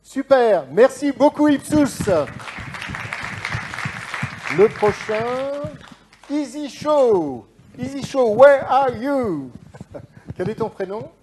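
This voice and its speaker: A man hosting a live startup pitch event, brisk and upbeat, calling people on stage. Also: animated, crowd noise, background noise. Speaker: event host.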